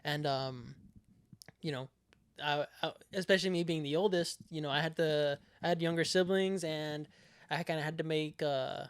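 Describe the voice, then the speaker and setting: Young male co-host from Mexico, raised voice pitch, loose and rambling, quick to laugh. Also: fairly even intonation, smooth, no echo, clean studio sound. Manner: loose and rambling, laughs often, swears casually. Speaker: podcast co-host. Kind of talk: casual chat.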